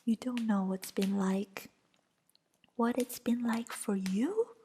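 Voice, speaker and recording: A woman voicing a defensive young male wizard in a soft whisper. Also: on the high side, steady tone, slow, slight background noise. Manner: whispered, pleading then shouting back.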